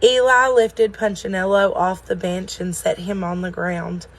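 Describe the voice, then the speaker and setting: Slow-paced woman reading aloud. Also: mid-range voice, steady tone. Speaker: story reader at a school. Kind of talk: children's story read-aloud.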